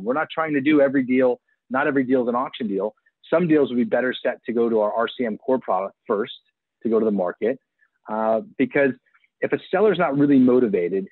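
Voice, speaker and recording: A man in his forties on a video call, explaining his business in an eager sales pitch with frequent restarts. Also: no room sound. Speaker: auction platform executive. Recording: tinny phone-like video call audio.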